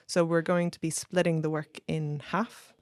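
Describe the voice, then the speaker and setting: A woman's voice: low-pitched, little pitch movement, moderate pace, a little mellow, no room sound. A woman hosting a podcast in a conversational introductory style. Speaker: podcast host.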